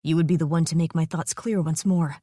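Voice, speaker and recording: A woman voicing a male dragon speaking slowly and formally to his mate, in a dry, close recording. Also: sits low, steady tone, dark tone, husky, no room sound, pitched below the performer's own voice. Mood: tender. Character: male dragon, possessive mate, calm and solemn.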